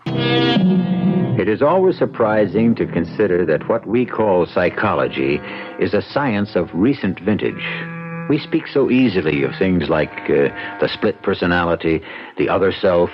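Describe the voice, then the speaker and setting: A male radio mystery host with a very low voice and lively, rolling intonation. He narrates deliberately and reflectively over music, in a dull vintage broadcast sound. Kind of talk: scripted narration, old-time radio.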